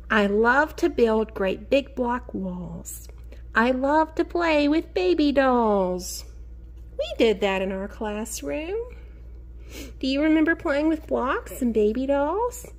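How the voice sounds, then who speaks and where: Lady teacher doing a kids read-aloud, sing-song n slow, voice swoops up and down a lot. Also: fairly high pitch. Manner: expressive, sing-song, drawn-out key words; encouraging, addresses children directly. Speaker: teacher reading a picture book aloud to young children. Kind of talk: children's read-aloud with questions to the listeners.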